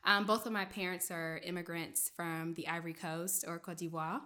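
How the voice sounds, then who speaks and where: Young woman, journalist, raised in Maryland, first language French; bright tone, loose conversational delivery with fillers. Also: on the low side, fairly even intonation, moderate pace. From Maryland, USA. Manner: chatty, many fillers, laughs often. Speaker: journalist, guest. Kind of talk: casual interview storytelling.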